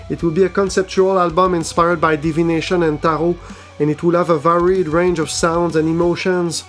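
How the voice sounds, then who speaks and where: A man hosting a weekly heavy metal release roundup, reading a script in an even, measured way. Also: high voice. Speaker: host of a metal news show.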